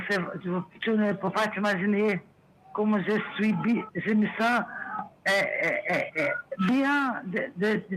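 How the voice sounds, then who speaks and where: Caller: woman, French, halting and repetitive, bright forceful tone, light hiss. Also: mid-range voice, rough recording. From Brazil. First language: Portuguese. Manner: halting, stammers and repeats words while searching for French. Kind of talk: phone conversation.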